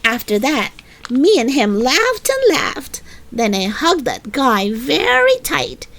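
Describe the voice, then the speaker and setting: Female children's book narrator: expressive read-aloud, unhurried pace, lively intonation. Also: high-pitched, gravelly. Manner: expressive, deliberate storytelling.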